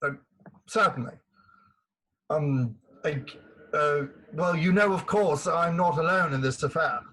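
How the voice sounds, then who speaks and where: A man acts a battered, gallant colonel from a stage farce. His voice sits high for a man and has a rough husk. He talks in a bluff, gentlemanly, old-fashioned way. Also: a little slow, full character voice, low-quality recording. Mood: earnest pleading. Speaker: actor.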